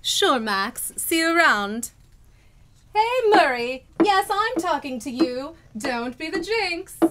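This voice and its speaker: A woman's voice: sits high, big pitch swings, slow pace, background noise, full character voice. A woman acting a grand, gushing radio actress with theatrical, affected delivery in an old-time comedy. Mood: coy, commanding. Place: live stage performance in a bookstore.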